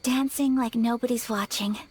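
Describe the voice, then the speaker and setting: A woman playing a gentle, refined young woman in a video game, soft-spoken, sincere and a little shy. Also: on the high side, steady tone, normal speed, a little hiss or hum, lightly acted. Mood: wistful, soft. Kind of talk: video game character dialogue.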